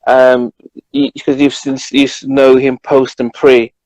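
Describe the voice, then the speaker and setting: A man with a British accent in a casual conversation, halting and repeating words as he finds his point. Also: mid-range voice, level tone, a little mellow, no room sound, poor audio. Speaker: journalist co-host. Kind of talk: casual chat.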